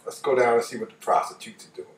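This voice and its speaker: A man in his late fifties with a New York accent, telling a personal story casually in an animated way, repeating phrases for emphasis. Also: mid-range voice, fast talker. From New York, United States. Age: late 50s. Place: living room, seated on a couch. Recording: roomy, voice distant from microphone.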